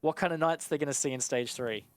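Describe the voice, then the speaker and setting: A man's voice: on the high side, quick pace, no echo. A man giving analytical live commentary on an esports match in a conversational, explanatory way. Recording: clear game noise, gunfire behind.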